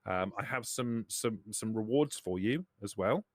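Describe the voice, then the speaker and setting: A man's voice: lowish voice, lively intonation, measured pace, no echo, studio quality. A man voicing a friendly, effusive male caravan trader in a tabletop roleplaying game. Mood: friendly, asking.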